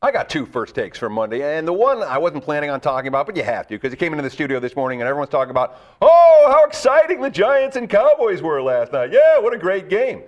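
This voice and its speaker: A man hosting a sports betting show, with a gravelly voice and an animated, chatty delivery. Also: fairly high pitch. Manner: animated, talks up his bets with quick asides. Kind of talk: casual sports betting talk.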